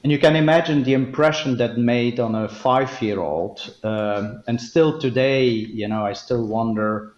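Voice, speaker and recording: A man, a native Dutch speaker, answering interview questions in a thoughtful, hesitant way with many pauses. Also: medium pitch, darkish tone, roomy sound, dull audio. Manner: thoughtful, hesitant with frequent ums. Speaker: guest, company legal officer.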